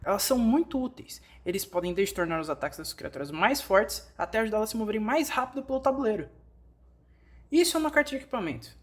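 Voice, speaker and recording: Woman, Brazilian accent, mid-range pitch, scripted tutorial narrator, step-by-step explanatory delivery, fan-dub style. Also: animated, quick pace.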